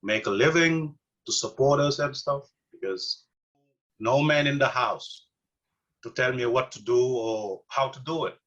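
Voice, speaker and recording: A middle-aged man from Senegal with a Senegalese accent, answering interview questions casually with many pauses, fillers and restarts. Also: mid-range voice, a bit bright, muffled recording. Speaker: interview guest.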